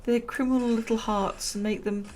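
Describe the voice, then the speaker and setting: A woman commentating a building game at a relaxed pace, chatty and self-amused, and she is losing her voice. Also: a little high, moderate pace, a little hiss or hum. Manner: chatty, self-amused, laughs at own jokes. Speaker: gameplay commentator. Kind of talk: relaxed let's-play commentary.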